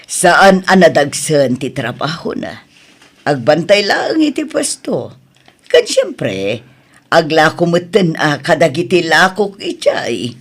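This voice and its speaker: A man acts out an older village market woman in an audio drama. She chats and explains in a raised voice with a hugely animated melody. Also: on the high side, takes it slowly, full character voice. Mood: persuasive. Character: chatty older village woman who runs a stall.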